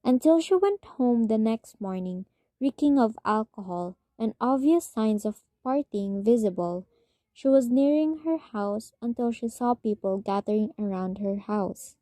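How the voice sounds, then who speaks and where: A woman's voice: a little high, lively intonation, a little slow, dark tone, dry recording. A young woman reading scripted narration for a radio drama. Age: young adult.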